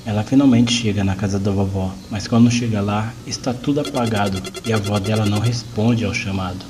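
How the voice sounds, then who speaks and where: A man's voice: on the low side, fairly even intonation, brisk, music in the background. A man with a Brazilian accent narrating a scripted horror story.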